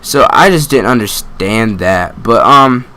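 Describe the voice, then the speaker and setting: A man's voice: mid-range voice, unhurried. Young guy telling a casual, sweary story. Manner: animated retelling with quoted asides and self-deprecating jokes. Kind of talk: casual story time chat, slangy and profane.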